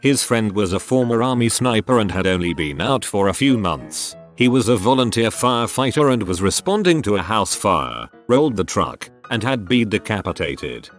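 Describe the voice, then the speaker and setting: Low-voiced male narrator over music. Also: expressive, normal speed, few pauses. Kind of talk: scripted narration of read-out forum stories.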